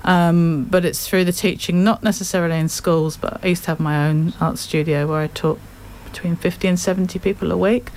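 An English-accented woman in her fifties talks through her work as a guest on a radio program. Her voice sits a little low, and she chats easily, laughing often as she goes. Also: steady tone, fairly quick, few pauses, a little hiss or hum, rough recording. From England. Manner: chatty, laughs often, warm self-deprecating. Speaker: guest artist. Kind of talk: casual radio interview.

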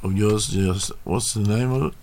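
A man hosting a casual radio interview in a studio, laid-back and quick with short encouraging replies. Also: lowish voice, steady tone, a little mellow, slight background noise. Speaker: radio host.

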